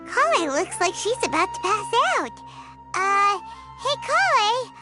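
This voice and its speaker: Game sidekick: tiny squeaky fairy, extremely high, slow and bouncy delivery, loud music behind. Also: female voice, sing-song, heavily acted. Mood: concerned, candid. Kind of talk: anime-style video game acting.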